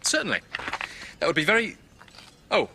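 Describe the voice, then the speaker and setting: An actor playing an earnest, polite and inquisitive young gentleman in a radio drama. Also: a little high, huge pitch swings, moderate pace, vocal fry, slight background noise, heavily acted. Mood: guarded, flustered.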